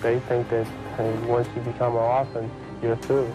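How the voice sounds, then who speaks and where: A young man speaking earnestly and haltingly in an old post-fight interview. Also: medium pitch, little pitch movement, music in the background. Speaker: boxer interviewed. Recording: old distant archive interview clip.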